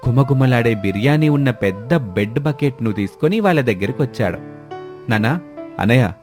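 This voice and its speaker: A man's voice: medium pitch, expressive, fairly quick, darkish tone, faint music behind. A man reading a scripted story as its narrator.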